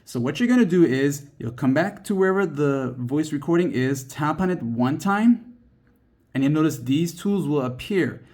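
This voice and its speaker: A man's voice: fairly high pitch, lively intonation, moderate pace, a little mellow. A man narrating a step-by-step app tutorial as its host, instructing the viewer directly.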